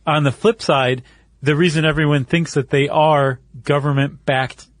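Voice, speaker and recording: A man's voice: fairly high pitch, fairly even intonation, normal speed, no echo, studio quality. A man co-hosting a podcast, explaining things step by step in casual conversation. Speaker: podcast co-host.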